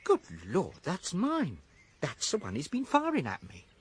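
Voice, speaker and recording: A man's voice: high voice, huge pitch swings, measured pace, a little hiss or hum, full character voice. An actor playing an anxious middle-aged everyman with nervy, colloquial speech in a radio drama. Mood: nervy, relieved.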